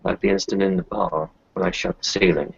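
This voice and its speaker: Man as blunt werewolf-world insider, very deep, husky and creaky, tabletop roleplay, muffled recording. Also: steady tone, fast talker, exaggerated voice. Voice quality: gravelly, husky. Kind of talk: improvised in-character tabletop roleplay.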